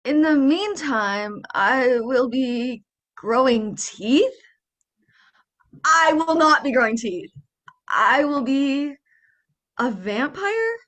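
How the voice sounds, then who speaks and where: A woman's voice: high-pitched, lively intonation, takes it slowly, long pauses, fairly bright tone, heavily acted. A young woman playing an awkward male field reporter who stalls for time in an absurd spoof radio play. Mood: awkward, stalling. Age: teens to young adult. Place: room at home, video call.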